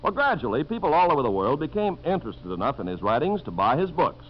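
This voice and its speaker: A man's voice: a little high, sing-song, fast talker. A man with an American accent reading scripted narration as a 1950s radio announcer. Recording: muffled, noisy 1950s radio recording.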